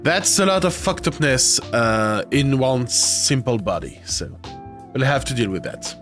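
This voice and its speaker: A young man streaming a video game, talking casually with fillers and swearing, his voice rough and congested from a nasty cold. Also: mid-range voice, big pitch swings, unhurried, over music. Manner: chatty, swearing, self-mocking, with filler sounds. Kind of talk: casual live-stream chat.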